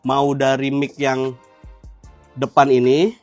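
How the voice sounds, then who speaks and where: A man's voice: mid-range voice, completely flat delivery, slow pace, creaky, no room sound, soft background music. A young adult man with a Jakarta Indonesian accent hosting a casual gear tutorial, explaining in colloquial language and checking in with viewers. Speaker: motovlog host.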